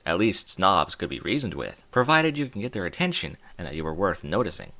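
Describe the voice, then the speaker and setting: A man narrates a storybook chapter with a bright, forward tone. His pitch sits a little low but moves around a great deal as he tells it, full of expression. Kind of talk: audiobook fan fiction reading.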